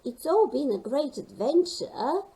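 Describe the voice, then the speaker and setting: Woman voicing a lively, high-pitched young penguin girl. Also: measured pace, heavily acted, low-quality recording. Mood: upbeat. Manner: excitable, encouraging. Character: cheerful bold young female penguin, encouraging first mate.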